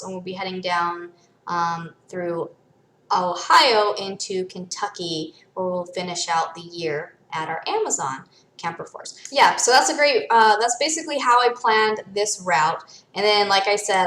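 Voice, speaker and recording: Woman, American accent, average pitch, informal explanatory vlog style, reverberant room recording. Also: fairly bright tone. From United States (born in Michigan, raised in Arizona from age seven or eight). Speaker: vlogger. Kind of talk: casual explanatory vlog talk.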